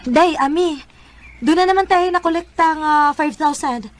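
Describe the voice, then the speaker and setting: A woman's voice: high-pitched, normal speed, over loud music, lightly acted. An actress playing a shy, good-natured teenage girl in a Bisaya radio drama, speaking mildly and hesitantly with a Cebuano Filipino accent. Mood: chatty.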